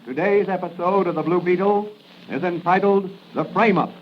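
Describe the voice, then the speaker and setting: A man announcing in a dramatic 1940s radio narration style, declamatory, with deliberate emphasis. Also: high-pitched, slight background noise. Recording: muffled 1940 radio recording.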